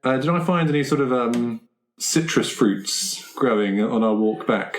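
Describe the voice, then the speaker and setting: A man with a medium-pitched voice improvises as a tabletop game player. His banter is casual, wry and playful. The room gives his voice an obvious echo. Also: animated, unhurried. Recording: roomy, echoey tabletop recording.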